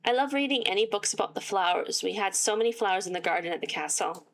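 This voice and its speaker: A woman reading a visual novel aloud with sarcastic asides, her voice getting worn and hoarse. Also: mid-range voice, expressive, fast. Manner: reads game text aloud and breaks off with sarcastic asides. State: losing her voice. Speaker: gaming YouTuber.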